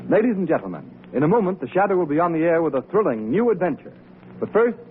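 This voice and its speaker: A man's voice: high-pitched, a little hiss or hum. A man announcing in polished, declamatory old-time radio style. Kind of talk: 1930s radio announcing, scripted ad read. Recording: tinny old radio recording.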